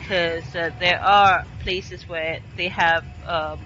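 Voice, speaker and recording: A woman's voice: on the low side, fairly even intonation, slow pace, pushed and bright, muffled recording, slight background noise. A woman from Singapore chatting casually while streaming a game from her home in Qatar, meandering and full of fillers.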